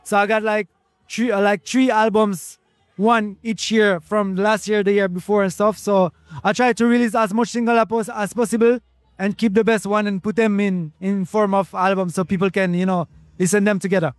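High-voiced Frenchman chatting, close dry sound. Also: steady tone, normal speed, no room sound. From France. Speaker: interview guest, reggae singer. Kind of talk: casual interview chat.

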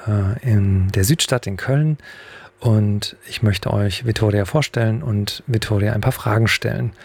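A man hosting a radio talk show about music theatre in a relaxed, chatty way with a few fillers. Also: medium pitch, no room sound. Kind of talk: casual radio interview. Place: radio studio.

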